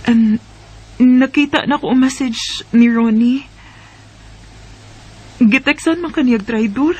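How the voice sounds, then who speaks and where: Actress as high-voiced, anxious young Bisaya wife. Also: female voice, slow, a little mellow, a little hiss or hum. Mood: hesitant. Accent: Cebuano Bisaya Filipino. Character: anxious, suspicious young wife, soft-hearted and hesitant. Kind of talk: Bisaya radio drama acting.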